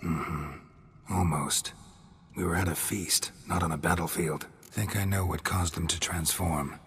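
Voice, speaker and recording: An actor playing a gruff, laconic monster hunter in a fantasy video game, gravelly and deadpan, speaking in clipped, understated phrases. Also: male voice, low voice, measured pace, full character voice. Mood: calm, reasoning. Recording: game music behind the voice.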